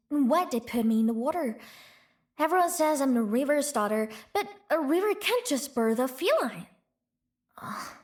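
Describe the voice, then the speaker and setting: A woman voicing a young, playful, proud feline girl who leads her village, teasing and quick to laugh, for a video game. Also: a little high, close to an everyday voice. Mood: wistful. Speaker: game character voice.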